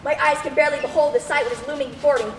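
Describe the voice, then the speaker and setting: A woman playing an excitable radio reporter in a melodramatic stage radio drama about disaster. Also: high-pitched, very fast, recorded from a distance, lightly acted. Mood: dramatic awe. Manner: melodramatic, breathless announcing. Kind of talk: mock 1930s radio drama acting on stage. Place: stage in a large hall. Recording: echoey noisy hall.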